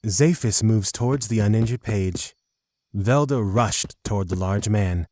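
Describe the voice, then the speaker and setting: A man's voice: lowish voice, measured pace, a little mellow, no room sound. A man narrating a scripted fantasy audio drama.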